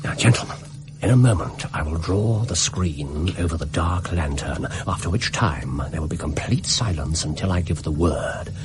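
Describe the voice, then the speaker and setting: A shrewd gentleman detective with a low voice. He gives orders crisply and precisely, in a radio drama with faint background noise. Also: expressive, moderate pace. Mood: tense, commanding.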